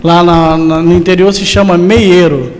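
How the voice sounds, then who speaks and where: A man in his forties with a high-pitched voice. He answers as an interview guest, politely and simply, often hesitating and adding tag questions. The recording rings like a large hall. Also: flat delivery, moderate pace, a little mellow, slight background noise. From rural interior of Rio de Janeiro state, Brazil. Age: 40s. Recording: reverberant hall.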